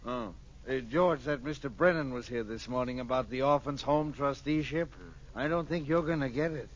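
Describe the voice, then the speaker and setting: An actor playing a blustering, bossy older boss in a 1940s radio comedy, hearty and overbearing. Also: male voice, medium pitch, moderate pace, lightly acted. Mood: confiding. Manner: blustery, tags sentences with a hearty "boy". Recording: muffled, hissy old radio recording.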